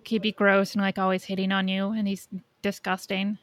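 A woman's voice: mid-range voice, monotone, normal speed, no room sound. A woman in casual podcast conversation, informally thinking aloud as a co-host. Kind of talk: casual fan podcast chat.

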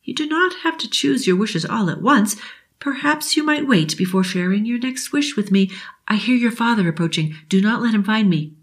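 A woman's voice: a little high, animated, pitched above the performer's own voice, natural voice. Woman voicing Adea, a kind old genie. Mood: gentle. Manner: slow, measured and solemn. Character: kind plump old female genie, calm.

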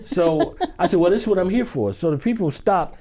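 High-voiced man telling a lively story. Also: fairly quick. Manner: acts out other people's lines in the story; loose conversational delivery with many "you know" fillers. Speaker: interview guest. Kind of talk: animated anecdotal storytelling.

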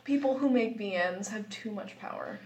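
A woman's voice: mid-range voice, normal speed. A woman chatting casually as a podcast guest, giggly and exclamatory, with an incredulous way of talking. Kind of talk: casual comedic chat with a friend.

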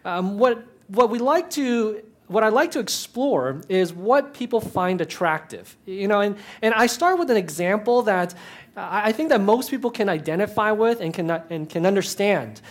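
A man's voice: high voice, animated, moderate pace. A man in ministry giving a conversational talk to an audience, chatty and self-deprecating, with asides and many restarts.

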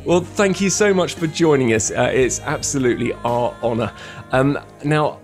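A man's voice: mid-range voice, moderate pace, soft background music. A man hosting a radio interview, effusive and chatty, with many fillers and restarts. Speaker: radio host.

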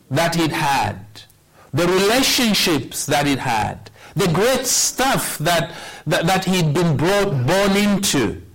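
A man in his sixties delivers a sermon at an unhurried, deliberate pace. His pitch sits in an ordinary middle range. He tells a personal story with wry humor. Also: low-quality recording. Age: 60s. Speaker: pastor.

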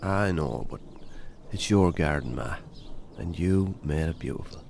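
An actor playing a weary, wry Irish farmer in a naturalistic radio drama. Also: male voice, very deep voice, animated, measured pace, creaky, a little mellow, slight background noise, full character voice. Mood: fond, content.

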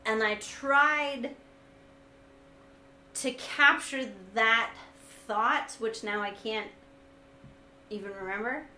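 A woman with a high, sweet, gentle-sounding voice, talking casually and reflectively as a co-host, with a wry, self-deprecating manner. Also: takes it slowly, pauses often. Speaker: vlogger and co-host discussing their video series. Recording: echoey room, light background noise.

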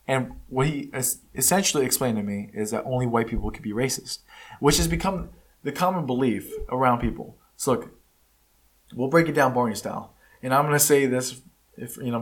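A man's voice: medium pitch, husky. Blunt man with frequent restarts. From United States. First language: Spanish. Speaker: podcast host. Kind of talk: casual opinion monologue. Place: California.

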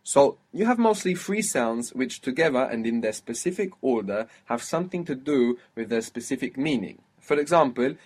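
A man teaching a one-on-one language lesson, patiently explaining and spelling out sounds slowly. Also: mid-range voice, breathy edge. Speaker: Arabic teacher.

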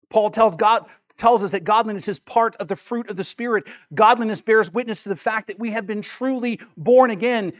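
A high-voiced man preaches as a pastor would from scripture. His delivery is emphatic, dropping quieter for asides and rising on stressed words. He repeats key phrases to drive them home. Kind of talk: sermon with scripture readings.

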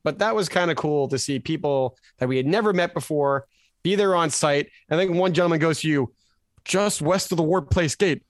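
An American man chatting casually as co-host of a sports podcast. He tells stories with energy and acts out the people in them. His voice sits a little high and sounds dry and close. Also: normal speed, dry recording. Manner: animated storyteller, acts out quotes.

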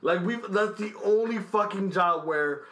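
A man's voice: high voice, unhurried, a bit bright, low-quality recording. A young man co-hosting a podcast, telling a rambling, slangy story in casual talk. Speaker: podcast co-host.

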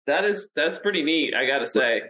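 A Russian-born woman in her forties hosts a podcast chat, upbeat and quick to laugh. She restarts her sentences often and keeps the talk casual. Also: sits low, animated, fairly bright tone, rough recording. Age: 40s. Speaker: podcast host.

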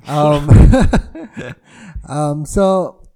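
A man from Malaysia co-hosting a casual film podcast, relaxed and laughing easily, trailing off quietly at the ends of phrases. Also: fairly high pitch, very slow. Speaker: podcast co-host.

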